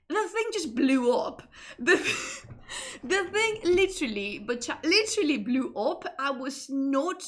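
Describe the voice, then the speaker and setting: A woman's voice: high voice, gravelly, a bit bright. A Spanish woman speaking English with a Spanish accent, chatting casually with many restarts. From Spain. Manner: chatty, self-interrupting, laughs often. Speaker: streamer. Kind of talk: casual chat.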